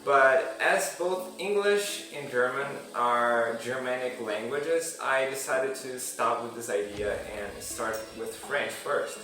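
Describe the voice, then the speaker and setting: A young man speaks English as an in a relaxed vlog, pausing and restarting his sentences as he talks. His voice sits at an ordinary pitch and rings around a strongly echoing room, picked up from a little way off the mic. Music plays clearly underneath. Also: recorded from a distance. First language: Portuguese. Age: young adult. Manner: hesitant, with frequent restarts and fillers. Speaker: language learning vlogger. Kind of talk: casual vlog monologue.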